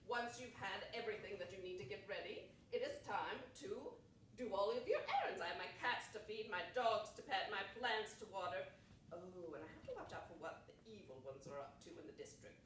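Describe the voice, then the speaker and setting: A woman's voice: medium pitch, bright, forceful tone. A woman on stage playing an eccentric, grandly theatrical old lady, effusive, rambling and giggly in her delivery. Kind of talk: live stage play acting. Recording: distant, echoey, noisy stage recording.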